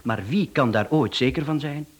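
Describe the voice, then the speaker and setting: A man's voice: mid-range voice, normal speed, husky, close to an everyday voice. A man with a Flemish accent playing a smug, chatty professional hitman in a radio drama, speaking with mocking politeness and self-assurance. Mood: mocking, self-assured.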